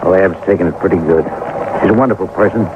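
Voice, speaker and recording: An American actor playing a plainspoken, weary homicide sergeant in a 1950s radio drama, terse and colloquial. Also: male voice, low-pitched, fast talker, close to an everyday voice. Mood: dogged. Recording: tinny, noisy old radio recording.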